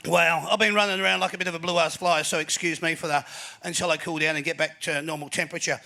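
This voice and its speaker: A high, gravelly Australian man hosts a live footy panel show in front of a crowd. He sounds bright and energetic, building up each introduction like an announcer, and he fills the gaps with plenty of ums. His voice sits high for a man. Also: fast, faint music behind. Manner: energetic announcer, talks with many fillers. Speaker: host. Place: live venue before an audience.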